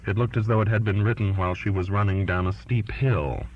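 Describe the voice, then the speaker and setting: A man's voice: on the low side, steady tone, brisk, a little hiss or hum. A man reading literary fiction aloud as a scripted audiobook narration, in a lo-fi recording. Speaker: audiobook reader. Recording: dull lo-fi recording.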